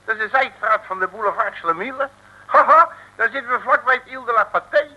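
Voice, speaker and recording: A man's voice: very high-pitched, quick pace, dull audio. An actor playing a cheeky, blustering, streetwise male detective in an old comic radio serial, slangy and jokey. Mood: chatty, puzzled. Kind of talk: 1950s-60s comic radio serial acting. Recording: hissy, thin old radio recording.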